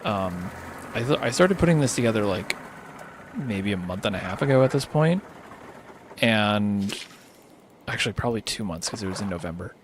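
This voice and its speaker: Man, lowish voice, slightly hoarse and tired, casual rambling game streamer, steady background noise. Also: normal speed, long pauses. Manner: rambling, thinking aloud. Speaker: livestreamer hosting a charity gaming stream.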